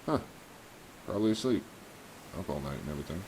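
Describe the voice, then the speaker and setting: An actor playing a breezy, persuasive real estate dealer in a radio drama, with folksy, glib sales talk. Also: male voice, a bass voice, sing-song, quick pace, heavily acted. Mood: calling out, uneasy. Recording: clear background noise, engine-like hum.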